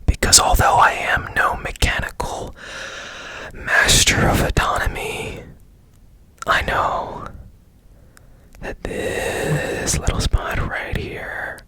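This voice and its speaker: Man playing mysterious obsessive fixer, male, ASMR roleplay, hushed whisper, long deliberate pauses, drawn-out pace. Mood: intense, secretive. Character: strange secretive man obsessively repairing a machine.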